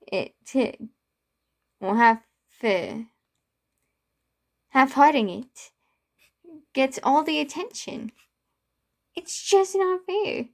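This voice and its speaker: A woman voicing a bitter, distraught young man in a story, impassioned and protesting, with stumbles. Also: on the high side.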